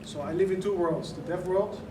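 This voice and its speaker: A man's voice: sits high, a little fast. Man explaining earnestly. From Netherlands. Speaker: event organizer. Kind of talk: press conference talk. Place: large indoor venue. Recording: echoey room, mic far off, noisy.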